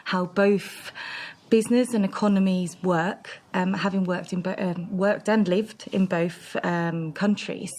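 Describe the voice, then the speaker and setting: A woman's voice: on the low side, steady tone, unhurried, a little mellow. A woman in her thirties from central Switzerland, a guest chatting in an interview with fillers and restarts. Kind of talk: casual interview chat.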